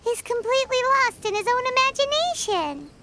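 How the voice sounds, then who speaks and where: A woman's voice: very high-pitched, big pitch swings, fairly quick, very noisy. A woman voicing a tiny, candid, childlike girl companion in a video game dub. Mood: candid, curious.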